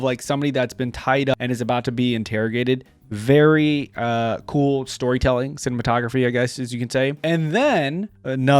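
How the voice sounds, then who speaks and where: A man's voice: medium pitch, clear, resonant tone, no room sound. A man hosting a reaction video, talking casually and informally with frequent exclamations.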